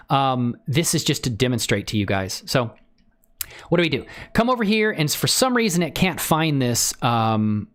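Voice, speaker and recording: Livestream tutorial host: informal, enthusiastic, chatty, mid-pitched with very animated intonation. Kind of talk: casual livestream chat with software tutorial.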